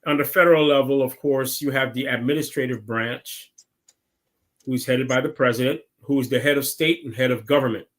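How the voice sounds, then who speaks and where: A man's voice: mid-range voice, rough recording. A man from Guyana, a city council member, explaining government step by step as an interview guest over a remote call. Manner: measured, explains step by step with tag questions.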